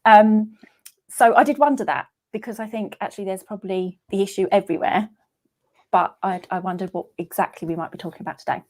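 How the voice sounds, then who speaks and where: A woman hosts an online session over a video call at a middle pitch. She talks in a chatty, giggly way and fills her sentences with ums. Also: steady tone, fairly quick, a little mellow. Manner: chatty, giggly, many fillers. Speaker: host. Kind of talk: casual online session hosting.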